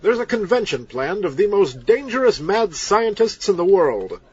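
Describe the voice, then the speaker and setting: An actor playing a vain, self-assured heroic doctor, pompous and grandly deliberate, in a comedy audio drama. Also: male voice, high-pitched, big pitch swings, slightly rough, highs cut off, heavily acted. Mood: smug, commanding.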